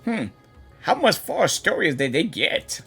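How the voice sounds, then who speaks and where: A woman's voice: lowish voice, very animated, a little slow, a bit bright, slightly rough, soft background music. A woman streamer giving casual reaction commentary to a game, musing and wondering aloud as she goes.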